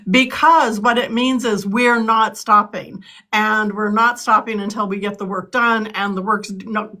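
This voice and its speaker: A woman's voice: medium pitch, pushed and bright. A woman in her sixties from California, answering interview questions earnestly, with frequent ums and restarts. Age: 60s. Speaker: political candidate.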